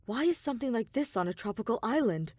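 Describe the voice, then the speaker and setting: A woman's voice: fairly high pitch, lively intonation, fast talker, a little mellow, no room sound, clean studio sound, voice pushed up. A woman voicing an anxious, hesitant but earnest young man in an audiobook story. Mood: uneasy, puzzled. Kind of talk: audiobook character dialogue.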